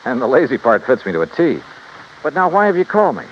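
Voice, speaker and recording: A man's voice: mid-range voice, huge pitch swings. An actor playing a wry, confident male insurance investigator in a 1950s radio detective drama. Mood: skeptical, probing. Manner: wry, brisk, skeptical. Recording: muffled, noisy old radio recording.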